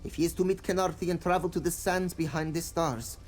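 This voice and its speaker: A man's voice: a little high, steady tone, brisk, slight background noise, close to an everyday voice. An actor playing a boastful but cowardly, lovable cat-man adventurer in a fantasy video game, theatrical with nervous hesitations. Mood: subdued. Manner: theatrical bragging with nervous hesitation, third-person speech. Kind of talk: video game voice acting, comic fantasy dialogue. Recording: game audio with faint music behind.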